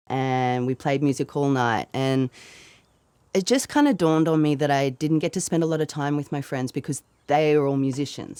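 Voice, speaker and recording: Gravelly, mellow woman reminiscing. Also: a bass voice, no echo. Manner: relaxed, reflective storytelling with fillers. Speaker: band leader, singer and guitarist. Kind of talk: documentary interview.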